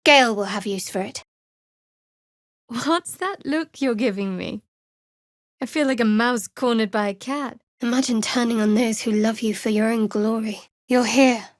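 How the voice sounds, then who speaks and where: A woman playing a guarded, sardonic young cleric in a fantasy video game, speaking in a measured, dry, wry way. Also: on the high side, no room sound, natural voice.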